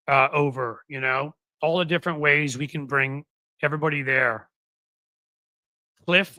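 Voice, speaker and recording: A man with a slightly high, bright, forceful voice hosts a casual livestream. He rambles, restarts his sentences and corrects himself as he goes, then presses his points with earnest rhetorical questions. The sound is close and dry, with no room echo. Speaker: livestream show host. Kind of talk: casual livestream talk to viewers.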